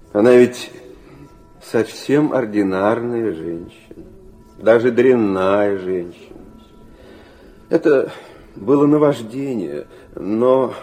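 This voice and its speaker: An actor playing an anguished, idealistic middle-aged nobleman in a radio drama, confiding and philosophizing in a theatrical, halting manner. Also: fairly low pitch, warm tone. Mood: confessional, tender.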